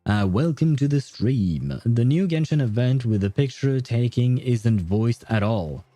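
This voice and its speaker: A male game streamer talks casually to chat in a mid-pitched, mellow voice. His delivery is informal and rambling. The sound is dry, with faint music underneath. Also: animated, a little slow, no echo. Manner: informal, rambling, mild swearing. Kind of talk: casual livestream chat and commentary. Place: indoor streaming setup, dry.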